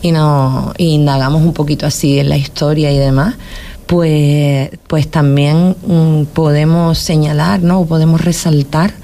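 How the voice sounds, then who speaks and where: A woman's voice: low-pitched, fairly even intonation, unhurried, mellow. A woman from Spain hosting a local radio show, talking in a thoughtful casual way with many restarts and tag questions. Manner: thoughtful, repeats words and adds tag questions.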